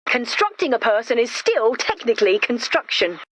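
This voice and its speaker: A woman voicing an eager young female inventor in an animated video game, enthusiastic and emphatic, cheerfully matter-of-fact about macabre things. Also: on the high side, moderate pace, slight background noise. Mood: insistent.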